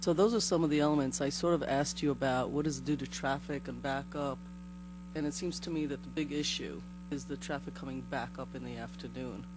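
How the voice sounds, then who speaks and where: A hoarse man with a bad cold questions a presenter at a village board meeting, apologetic and rambling. His voice sits at a middle pitch, and a clear mains hum runs under the recording. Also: steady tone, brisk. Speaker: board member asking questions.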